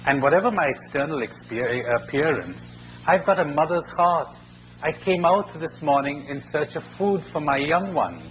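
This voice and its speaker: A man's voice: fairly high pitch, normal speed, lightly acted. Sly mother crocodile played by a male storyteller, wheedling, matter-of-fact, fable telling, noisy recording. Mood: pleading. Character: pleading, sly mother crocodile trapped in a net.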